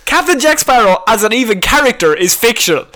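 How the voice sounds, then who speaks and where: A woman's voice: a little high, big pitch swings, brisk, bright, forceful tone. An Irish woman co-hosting a comedy podcast, heckling in a blunt, sweary, incredulous way. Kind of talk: casual comic banter.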